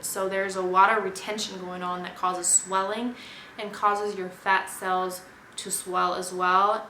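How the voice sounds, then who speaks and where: Twentysomething woman vlogging, earnest explainer, sharp bright voice, kinda flat delivery. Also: mid-range voice, echoey room, a little hiss or hum, low-quality recording. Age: 20s. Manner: earnest, explanatory, talks straight to viewers. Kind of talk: personal explainer video.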